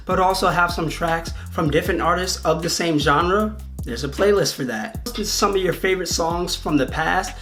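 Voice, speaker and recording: A video host, delivering conversational video essay commentary. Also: mid-range voice.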